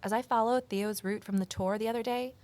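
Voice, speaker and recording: A woman's voice: a little high, fairly even intonation, brisk, a little mellow, natural voice. A woman playing an earnest, observant office assistant turned amateur sleuth, narrating and speaking in an audio drama. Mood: determined, reflective. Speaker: narrator and lead character. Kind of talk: first-person audio drama narration and dialogue.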